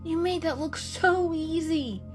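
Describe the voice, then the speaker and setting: A curious young male cat, full of wonder, put on by a woman narrator. The storybook voice jumps high and exclaims eagerly, over clearly heard music. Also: measured pace. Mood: excited, amazed. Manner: exclamatory, wide-eyed.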